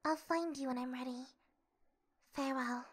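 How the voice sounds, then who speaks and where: A woman playing a composed, secretive young princess in a comic dub, speaking softly and formally. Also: high-pitched, steady tone. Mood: calm, gentle. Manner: soft, measured, formal. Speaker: voice actor in a fan comic dub. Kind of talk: dramatic comic dub acting.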